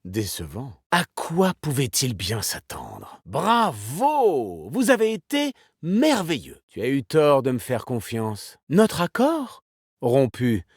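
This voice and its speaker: An actor playing a suave, vain, elegant male weapons designer in a video game, with a theatrical, smug and courteous, smoothly ironic manner. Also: mid-range voice, wildly swooping pitch, slow pace, no room sound, studio quality, full character voice. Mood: smug, cold. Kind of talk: video game character voice lines.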